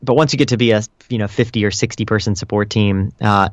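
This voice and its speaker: A man answering interview questions as a guest, explaining things in a measured, conversational way with casual hedges. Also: on the low side, fairly even intonation, no room sound. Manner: explanatory, measured, hedging with "kind of" and "you know". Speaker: interview guest, customer support director.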